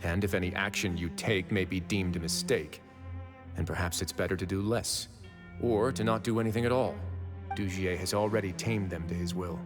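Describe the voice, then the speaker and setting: A man playing a composed, shrewd duke of a prison fortress in an anime-style video game, speaking in a measured, deliberate way. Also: low-pitched, steady tone, natural voice. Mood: calm, analytical. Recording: music playing behind the voice.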